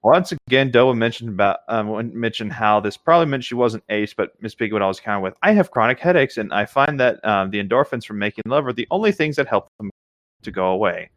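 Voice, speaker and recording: A man's voice: lowish voice, expressive, fast talker, fairly bright tone, no room sound. A man co-hosting, reading a story aloud and adding casual commentary.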